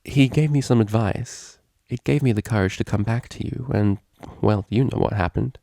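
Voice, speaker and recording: A man's voice: on the low side, normal speed, vocal fry, warm tone, dry recording, studio quality. A man voicing an anxious, proper female pony cellist in a story, polite and careful in speech. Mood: reassuring.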